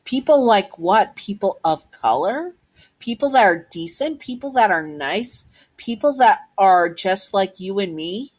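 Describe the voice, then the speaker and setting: A woman's voice: mid-range voice, animated, measured pace, pitched above the performer's own voice. A woman voicing a bold, blunt young child who fiercely protects a friend, in an amateur story narration. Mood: scornful. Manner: defiant, blunt.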